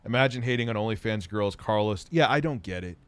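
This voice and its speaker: A man's voice: lowish voice, fast, clear, resonant tone, no room sound. Profane, rambling male livestream host. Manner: profane, rambling, animated, laughs often. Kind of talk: casual livestream chat reading viewer comments.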